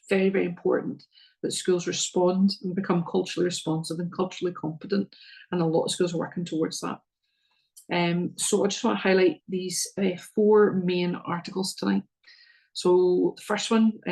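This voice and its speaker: Northern Irish woman, middle-aged, earnest presenter to parents; flat, slightly gravelly voice, lots of ums and restarts. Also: lowish voice. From Northern Ireland. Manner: earnest, repeats words, frequent ums.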